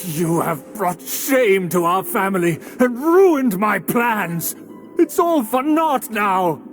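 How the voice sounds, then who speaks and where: A high-voiced pompous aristocrat villain. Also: male voice, sing-song, full character voice. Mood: outraged. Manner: pompous, theatrical, slow grand phrasing. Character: pompous middle-aged aristocrat uncle, scheming, haughty villain. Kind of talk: video game voice acting. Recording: music behind the voice.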